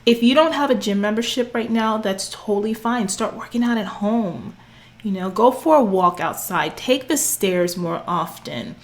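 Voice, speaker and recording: A woman's voice: medium pitch, expressive, normal speed. A fitness coach in her forties speaks straight to the camera, sassy and preachy, calling her listeners honey and girl. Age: 40s. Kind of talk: direct-to-camera coaching talk.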